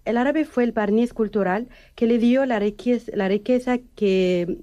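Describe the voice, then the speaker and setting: A woman with a mellow, darker tone talks as an adult student. She explains earnestly and makes small restarts along the way. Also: a little high, unhurried. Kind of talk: prepared explanation.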